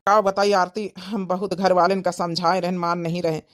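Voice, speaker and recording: Cartoon young parent, gentle and concerned, lower-pitched Awadhi-accented voice by a woman. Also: flat delivery, moderate pace, lightly acted. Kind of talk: family drama cartoon dialogue.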